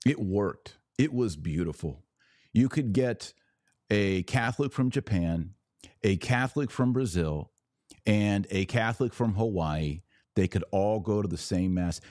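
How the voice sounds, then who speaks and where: Deep-voiced man hosting an emphatic podcast. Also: a little mellow, no room sound, clean studio sound. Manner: emphatic, opinionated, rhetorical questions. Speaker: podcast host. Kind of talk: live-stream podcast commentary reading viewer comments.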